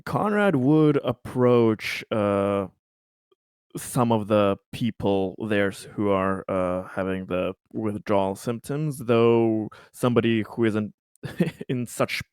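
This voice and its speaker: A man playing a tabletop roleplaying game, talking through his plans in a halting, deliberate and rather formal way. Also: lowish voice, darkish tone, smooth, no echo, studio quality. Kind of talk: improvised in-character discussion.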